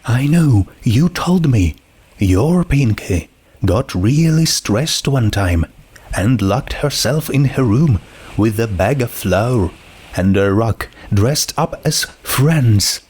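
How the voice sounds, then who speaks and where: Calm teenage girl played by a man, storybook best friend, reasonable, reassuring, unhurried. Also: medium pitch, slightly rough. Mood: matter-of-fact. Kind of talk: fan fiction character reading.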